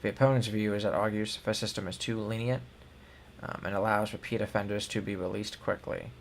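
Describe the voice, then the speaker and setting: A man's voice: fairly low pitch, fairly even intonation, brisk, vocal fry, a little hiss or hum. A young man co-hosting a news show, reading headlines and then giving loose, filler-heavy opinions. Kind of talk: casual news commentary.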